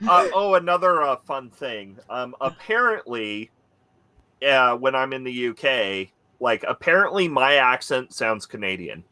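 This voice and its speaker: A man from the Pacific Northwest chatting casually over a call, stopping often to search for words. His speech is slow and unhurried, with a bright, forward tone. The sound is dry and close. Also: mid-range voice, big pitch swings, dry recording. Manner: halting storyteller, many fillers. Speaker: podcast cast member. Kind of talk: casual chat.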